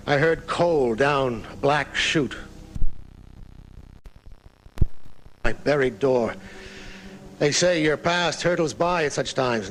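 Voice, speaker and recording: A man's voice: mid-range voice, fairly bright tone. An actor playing an aging famous writer in a live radio drama, telling his story in a florid, dramatic way. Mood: dramatic, vivid. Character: aging famous male writer recounting his own murder, theatrical. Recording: noisy 1980s off-air radio recording.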